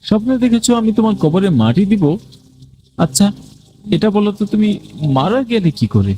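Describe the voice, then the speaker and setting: Male narrator for a cartoon ghost tale: dark, mellow tone, animated intonation, first-person storytelling. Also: high voice, a little fast.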